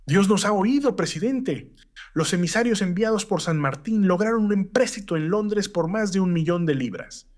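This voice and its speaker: A man's voice: sits high, expressive, moderate pace, darkish tone. An actor playing a devout, eager male government minister reporting formally to his president in a historical audio drama. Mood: relieved, excited.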